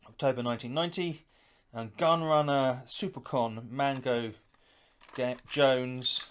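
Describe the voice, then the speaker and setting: A man's voice: mid-range voice, steady tone, a little slow. Man, casual unboxing chat, background noise. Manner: musing, thinking aloud while handling items. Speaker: host of a retro gaming unboxing channel. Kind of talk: casual unscripted unboxing commentary.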